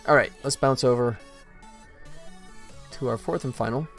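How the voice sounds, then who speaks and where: A man talks casually through his work on a game development stream. His pitch is average for a man, and he thinks aloud in an informal way. Faint music plays behind him. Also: moderate pace. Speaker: indie game developer, livestream host. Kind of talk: casual work-along commentary.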